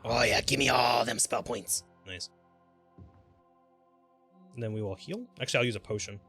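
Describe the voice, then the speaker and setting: A man live-streaming a game with casual, exclamatory commentary, reacting out loud and swearing freely. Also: medium pitch, normal speed, slightly rough, soft background music.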